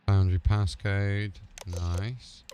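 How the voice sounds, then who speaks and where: A man's voice: lowish voice, level tone, very slow, background noise. A man streaming a game, giving brief, casual commentary as he reacts to what happens.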